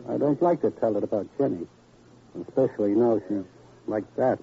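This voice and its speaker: An actor playing a brash, slangy fight promoter, glib and defensive, with a husky wisecracking delivery in an old-time radio crime drama. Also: male voice, fairly low pitch, normal speed. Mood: confiding. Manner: slangy, wisecracking tough-guy talk. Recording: dull, lo-fi vintage radio recording.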